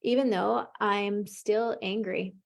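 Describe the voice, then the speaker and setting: Calm woman coaching tapping. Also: mid-range voice, slow pace, creaky. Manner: calm, encouraging, leads with short prompts. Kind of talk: guiding a tapping session.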